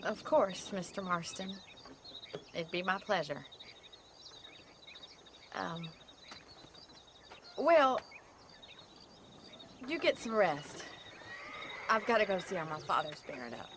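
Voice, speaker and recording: A woman's voice: lowish voice, very animated, slow pace, long pauses. A woman playing a proud, spirited young ranch daughter with an American accent, earnest and proper, in a western video game. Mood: grateful, awkward. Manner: earnest, proper, strong-willed. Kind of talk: video game cutscene acting. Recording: outdoor ambience, clear background noise.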